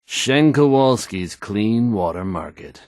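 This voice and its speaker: A smooth, self-assured radio DJ for a post-apocalyptic game. His delivery is deliberate and showy, swinging widely in pitch as he reads news and ads. The sound is dry and close. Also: animated, darkish tone, no echo. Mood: confident, smooth. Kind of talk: polished radio announcing and ad reads.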